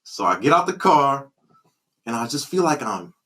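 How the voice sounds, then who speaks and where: A young man hosting a podcast, telling stories in lively, slangy casual talk. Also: medium pitch, normal speed. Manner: lively slangy storyteller, laughs often. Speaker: podcast host. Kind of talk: casual chat.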